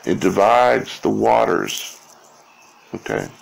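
A man giving an informal explanatory monologue in a halting way, repeating words and often checking in with "okay?" or "right?". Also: lowish voice, wildly swooping pitch, gravelly, rough recording. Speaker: video creator.

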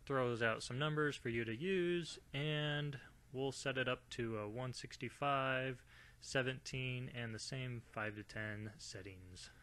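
A man's voice: medium pitch, fairly bright tone. Casual man narrating a DIY review. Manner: informal, conversational.

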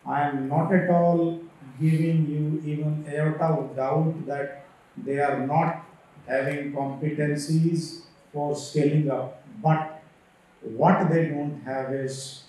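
A middle-aged man with an Indian English accent giving a conference keynote from a podium, emphatic and slowing down to stress figures. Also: a little high, warm tone. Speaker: keynote speaker. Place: large auditorium stage. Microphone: podium microphone, heard from far. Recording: echoey hall from far off.

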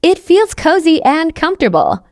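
High voiced woman reading a learner story, very clear careful words, lots of up and down in pitch. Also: big pitch swings, normal speed, a little mellow, dry recording, clean studio sound. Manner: carefully enunciated, repeats sentences identically. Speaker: narrator of English learning stories. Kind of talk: scripted slow narration for language learners.

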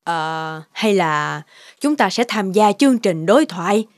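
A woman voicing an anxious, overprotective middle-aged mother in an animated moral tale, with a fretful, earnest manner. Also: mid-range voice, unhurried, no echo, studio quality. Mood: coaxing.

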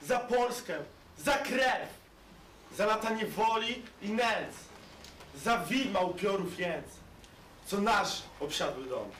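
A fiery young insurgent, acted by a teenage boy, cries his brothers to arms with a high, swinging voice, pacing his words slowly. He sounds far off in an echoing hall over a faint room hum. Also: lively intonation, exaggerated voice. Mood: rousing. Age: teens. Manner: shouting, rousing declamation. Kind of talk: school stage play. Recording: echoey school hall, far camera.

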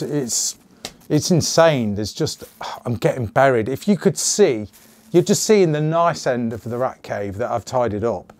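Middle-aged host, slightly hoarse, chatty and rambling, dry close mic. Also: male voice, mid-range voice, a little mellow, no echo. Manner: chatty, rambling, jokey asides. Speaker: channel host. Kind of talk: casual to-camera channel update. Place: small indoor gun room.

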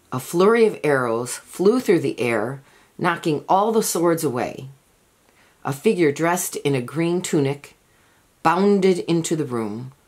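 A woman reading a children's picture book aloud as an expressive, deliberate storyteller. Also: low voice. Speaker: storybook reader.

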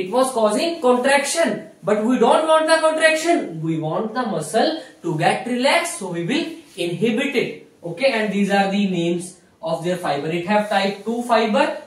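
A very reverberant room surrounds a young man with an Indian accent as he lectures, standing away from the microphone. His delivery is lively and melodic. He explains like a classroom teacher, repeating key phrases and asking questions as he goes. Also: sits high. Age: young adult. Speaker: physiotherapy teacher. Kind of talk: whiteboard lecture. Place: small indoor room in front of a whiteboard. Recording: echoey room, distant microphone.

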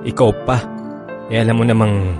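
A man's voice: lowish voice, fairly even intonation, moderate pace, lightly acted. A man playing an evasive married lover in a radio drama, hesitant and placating as he soothes his girlfriend. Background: background music.

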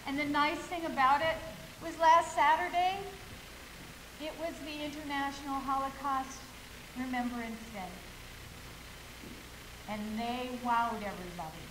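A middle-aged woman, a director, giving a nervous, halting informal introduction from a stage. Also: high voice, steady tone. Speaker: play director introducing show. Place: school auditorium stage, far camera. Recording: echoey auditorium with hiss.

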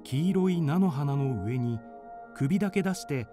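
A man's voice: fairly high pitch, lively intonation, a little slow, music in the background. A man narrating a children's radio drama in scripted storybook style.

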